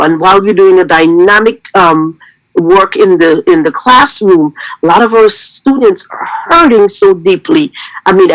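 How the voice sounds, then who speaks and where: A woman's voice: mid-range voice, no echo, poor audio. A middle-aged woman, a school counselor, speaking effusively and earnestly at a staff meeting. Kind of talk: heartfelt remarks at a staff meeting.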